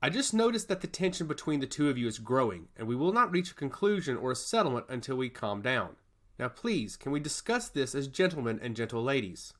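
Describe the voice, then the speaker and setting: A man's voice: medium pitch, very animated, a bit bright. A man as a thoughtful, diplomatic mortal woman. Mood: conciliatory. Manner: measured, diplomatic. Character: thoughtful, conciliatory mortal woman pleading politely. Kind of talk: fantasy audio story dialogue.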